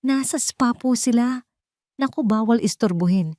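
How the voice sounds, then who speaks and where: A woman's voice: on the high side, normal speed, dark tone, no room sound, studio quality, pitched above the performer's own voice, natural voice. A woman with a Filipino accent voicing a panicky, worried office secretary in an audio drama, flustered and anxious. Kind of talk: audio drama character acting.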